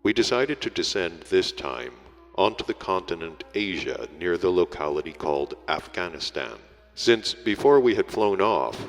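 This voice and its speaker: A man reading a literary story aloud as an audiobook narrator, in a measured, formal storytelling delivery. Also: deep voice. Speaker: audiobook reader. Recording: echoey hall reverb, music behind.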